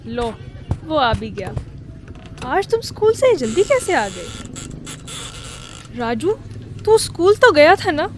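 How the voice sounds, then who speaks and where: A fretful mother in a radio play. Her voice is very high, with a nagging edge, and faint noise sits behind it. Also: sing-song, slow pace, long pauses, heavily acted. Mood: fond, suspicious. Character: fed-up, worrying housewife mother of a naughty schoolboy. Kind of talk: Urdu radio drama acting.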